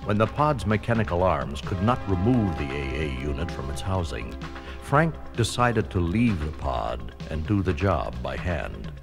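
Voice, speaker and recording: A man's voice: deep voice, vocal fry. A man narrating a children's read-along story in a dramatic, scripted storytelling style. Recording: music under the voice.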